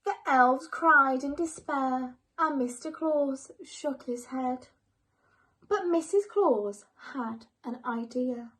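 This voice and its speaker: A woman reading a children's bedtime story aloud in an expressive, measured storytelling manner. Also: high voice.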